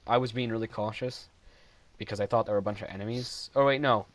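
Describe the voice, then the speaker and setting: A man's voice: lowish voice, fairly even intonation, fast. Giggly young man rambling over gameplay. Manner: rambling, self-deprecating, giggly. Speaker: gameplay commentator. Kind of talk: casual game commentary voice-over.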